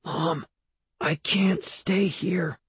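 A man puts on an anxious young storybook boy. The voice is pushed up high and slightly husky, whining and pleading in misery. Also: big pitch swings, drawn-out words, mellow, no echo, heavily acted. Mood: desperate pleading. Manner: pleading, wailing.

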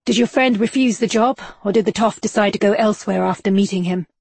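A woman's voice: mid-range voice, quick pace, creaky, darkish tone, breathy edge, dry recording. A woman voicing a terse, guarded male investigator in an audiobook, speaking in short, clipped questions. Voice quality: curt and clipped. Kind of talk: audiobook character voice.

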